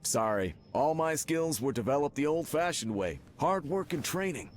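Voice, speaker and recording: An easygoing everyman superhero, a little high-pitched and hugely expressive. He is earnest and self-deprecating in his game banter. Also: normal speed, soft background music. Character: earnest, hardworking everyman hero without powers. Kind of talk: video game character dialogue.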